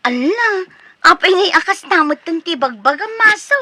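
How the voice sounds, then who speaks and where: A woman's voice: extremely high pitch, lively intonation, normal speed, sharp tone, a little hiss or hum, heavily acted. A woman playing a nosy, doting older Filipino mother in a comedic radio drama, teasing and easily startled. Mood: prying.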